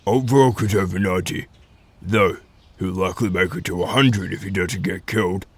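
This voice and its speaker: A man playing a solemn, middle-aged wolf-pack leader and battle veteran in a scripted fantasy drama, speaking with measured authority. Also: low voice, slightly rough. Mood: grave.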